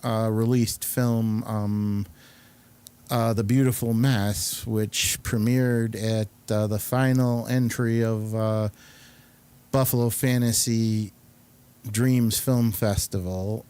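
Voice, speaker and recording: A man hosting a casual interview show, speaking in a halting, hesitant manner. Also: fairly low pitch, steady tone, darkish tone, clear, resonant tone. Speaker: talk show host.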